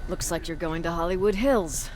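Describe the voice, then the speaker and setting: A woman's voice: fairly low pitch, moderate pace, darkish tone, a little hiss or hum, lightly acted. Woman acting as a blunt, hard-edged resistance commander. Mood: businesslike. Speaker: military field commander. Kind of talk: dramatic video game acting.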